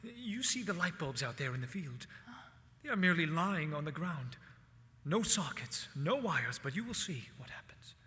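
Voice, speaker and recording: Man acting an earnest inventor, bright ringing voice. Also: on the high side, very animated, moderate pace. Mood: hushed excitement. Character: earnest, proud male inventor, romantic and obsessive. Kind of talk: staged musical reading. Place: theatre stage in a hall. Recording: reverberant theatre hall with faint music.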